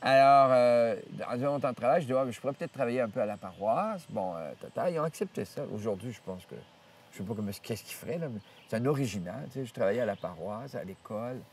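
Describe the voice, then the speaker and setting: An older man, at a middle pitch, recounting his path in a meandering way full of hesitations and restarts. He sits a little away from the microphone outdoors, with faint ambience behind him. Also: fast, distant mic, a little hiss or hum. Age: 70s. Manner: rambling, many hesitations and restarts, lively retelling of dialogue. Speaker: priest interviewed about his life. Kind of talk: storytelling interview. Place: outdoors in nature.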